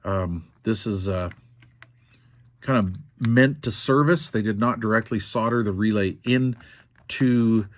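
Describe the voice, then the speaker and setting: An older American man casually narrating a hands-on repair in a folksy, unhurried way, thinking aloud as he goes. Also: fairly low pitch. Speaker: radio repair video host.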